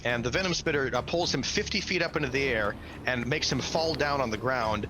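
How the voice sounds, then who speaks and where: A man's voice: mid-range voice, a little fast, low-quality recording. A man acting as game master, narrating a tabletop fantasy game with dramatic storytelling and vocal sound effects. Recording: loud music under the voice. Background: loud music.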